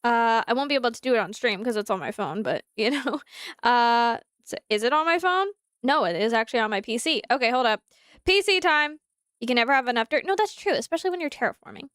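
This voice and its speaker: High chatty girl streaming, bit stuffy nasal, dry close mic. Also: expressive, fast, fairly bright tone, smooth, no echo. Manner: chatty, rambling, talks to animals and chat. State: slightly nasal from congested sinuses. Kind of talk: casual live gameplay chat. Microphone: desk mic at a computer.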